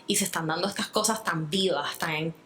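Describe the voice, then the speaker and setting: A woman's voice: on the low side, a little fast, fairly bright tone, echoey room, a little hiss or hum. A Puerto Rican woman speaking earnestly in an interview, reflecting at length with fillers and restarts. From Puerto Rico. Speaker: guest. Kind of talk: interview conversation.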